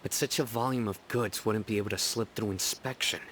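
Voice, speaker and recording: An actor playing a stern, composed young investigator in a video game, measured and serious. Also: male voice, lowish voice, fairly even intonation, heavy background noise, close to an everyday voice. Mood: pondering. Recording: game music behind.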